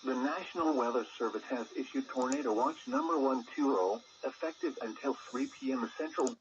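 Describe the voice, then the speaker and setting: A synthesized male radio announcer voice reading a scripted emergency weather bulletin in formal, measured phrases. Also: medium pitch. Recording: muffled, noisy weather radio audio.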